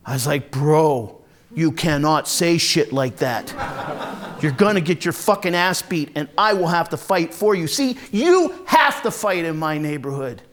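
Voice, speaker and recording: A man playing a volatile, hard-drinking, foul-mouthed Marine from a rough neighborhood, telling his story in a raw, halting dramatic monologue. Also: sits high. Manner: raw, profane, halting then bursting into anger. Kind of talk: first-person dramatic monologue, conversational storytelling.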